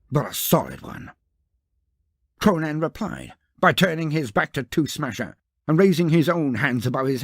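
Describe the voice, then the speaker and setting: A man's voice: mid-range voice, gravelly, no room sound, clean studio sound. A man narrating a scripted story in a dramatic, grandiose, theatrical manner.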